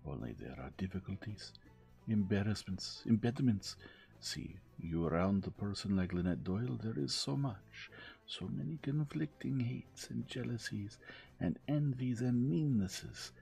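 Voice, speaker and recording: A man's voice: lowish voice, expressive, measured pace, vocal fry, mellow, soft background music. A man voicing a vain, precise middle-aged detective with a French accent who slips in French phrases. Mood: insistent.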